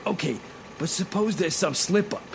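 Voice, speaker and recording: A man's voice: sits high, moderate pace. An actor playing a dim, eager, deferential henchman with slangy talk, in 1940s radio drama style. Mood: doubtful. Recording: clear car engine noise.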